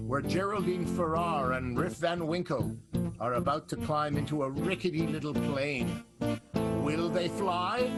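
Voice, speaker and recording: A man hosting and narrating an improvised radio comedy in a theatrical, wry, teasing way. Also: sits high. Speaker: narrator and host.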